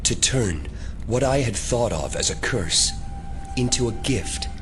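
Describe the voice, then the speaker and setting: An actor playing a menacing, coolly superior mind-reading conspiracy leader in an audio drama, speaking in measured, grandiose speeches. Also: male voice, medium pitch, animated, over music, natural voice. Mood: weary, cold.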